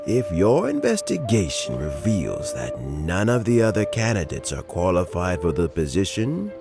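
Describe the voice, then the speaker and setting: A man voicing a measured, diplomatic older political planner who is near retirement, over game music. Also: low-pitched, huge pitch swings, gravelly, exaggerated voice.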